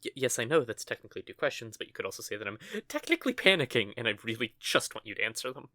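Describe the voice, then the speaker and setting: A man's voice: medium pitch, very animated, very fast, pushed and bright, no room sound. Male actor as a nervous stuttering teen-ish witch; everyday mid voice, dead-dry close recording. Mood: flustered. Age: young adult. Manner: stammering, flustered. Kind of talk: audio roleplay acting.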